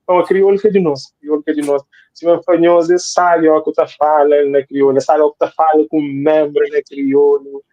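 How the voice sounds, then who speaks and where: Male guest author from Cape Verde, high-voiced, repeats himself, dull lo-fi sound. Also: level tone, fast talker, few pauses, dry recording. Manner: rambling, repeats phrases for emphasis. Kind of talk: casual interview talk.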